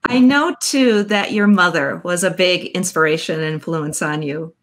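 A woman's voice: on the low side, normal speed, fairly bright tone. A middle-aged woman with an American accent, interviewing conversationally in a chatty, agreeable way on a video call. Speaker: interviewer, host. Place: indoor room, on a video call.

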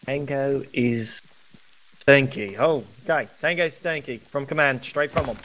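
A man in casual gaming banter as squad leader, excitable, goofy and foul-mouthed. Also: medium pitch, a little slow, slight background noise. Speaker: gamer playing squad leader.

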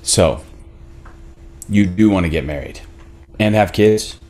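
A man's voice: sits low, takes it slowly, a little mellow, soft background music. A man in his thirties acting a laid-back, wry but defensive boyfriend in a naturalistic table read on a video call. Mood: tentative.

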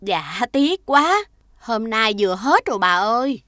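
A woman's voice: a little high, big pitch swings, unhurried, fairly bright tone, no room sound. A woman voicing a kindly middle-aged street food vendor in a children's cartoon, obliging and patient. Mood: regretful.

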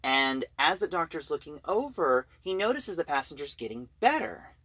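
A man giving an informal story recap as a reviewer, talking in a halting, conversational way. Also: on the high side, very animated, pushed and bright, husky, no room sound. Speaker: audio drama reviewer.

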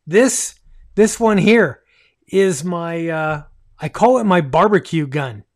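Slow-paced middle-aged man hosting, higher-pitched voice. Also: expressive. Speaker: livestream host. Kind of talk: casual livestream hosting. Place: small home radio room, indoors.

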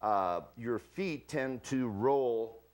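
A man's voice: medium pitch, extremely slow, darkish tone. A man in his sixties, a ski boot fitter, explaining his gear with props in a folksy, jokey way on a morning TV show set. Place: studio kitchen set.

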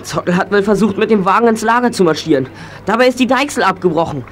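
A young actor playing an excitable, helpful boy in a German children's audio drama. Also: sits high, fast talker, slight background noise, lightly acted. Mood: agitated.

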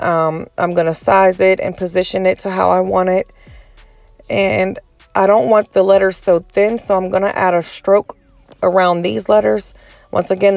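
A woman giving a casual step-by-step tutorial, sounding a little raspy and hoarse from a cold. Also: on the low side, a little mellow, no room sound. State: getting over a cold, slightly hoarse. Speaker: tutorial host.

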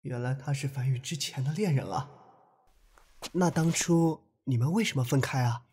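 A man playing a gentle, wistful young man in love in an audio drama, speaking softly and earnestly. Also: medium pitch, moderate pace, slight background noise, natural voice. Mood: shy, curious. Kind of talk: Chinese audio drama acting. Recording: reverberant, with music behind.

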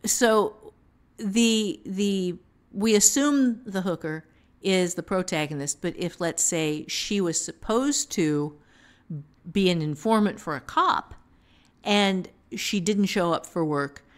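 A woman giving unscripted teaching talk on a podcast, thinking aloud in a halting manner. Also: mid-range voice. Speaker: writing teacher, author.

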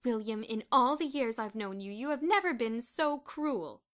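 A woman voicing an indignant middle-aged wife who scolds her husband in a raised, sharp voice. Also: medium pitch, lively intonation, a little fast, lightly acted. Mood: angry.

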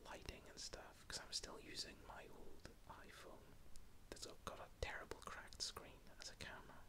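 A man with a Northern Irish accent whispering close to the mic, rambling unhurriedly in a self-deprecating way as he sorts through toy figures. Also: moderate pace. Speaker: ASMR creator. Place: indoors at a table. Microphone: close mic with wind pops.

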